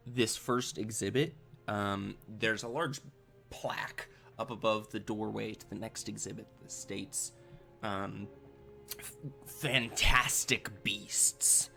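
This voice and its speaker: A man running a tabletop game, improvising narration in a halting, conversational way. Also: fairly low pitch, vocal fry, bright, forceful tone, faint music behind. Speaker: game master.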